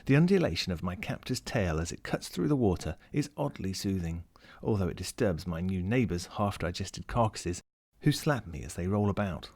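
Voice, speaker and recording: A man's voice: sits low, lively intonation, normal speed, few pauses, a little mellow. A man voicing a lonely, wry lost ring as he reads a first-person short story in a dramatic, melancholic way. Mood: indignant, wry. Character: lonely, world-weary, wry sentient ring lost at sea.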